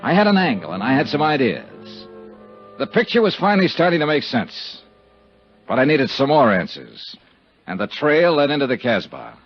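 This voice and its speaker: An american radio detective, terse and sardonic, lively delivery, dull 1950s recording with faint music. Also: male voice, medium pitch, normal speed. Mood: brisk narrating. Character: hardboiled, wisecracking American insurance investigator.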